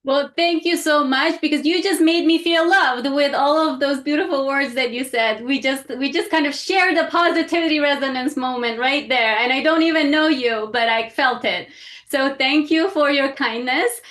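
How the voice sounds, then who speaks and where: Higher-voiced woman leading a group talk. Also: moderate pace, a bit bright. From Iran. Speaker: speaker leading a group session.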